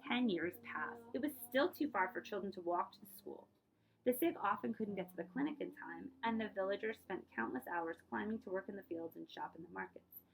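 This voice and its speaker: A woman reading a picture book aloud like a librarian, with expressive delivery, dramatic emphasis on key words and explanatory asides. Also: medium pitch, fairly quick, vocal fry, slight background noise, poor audio.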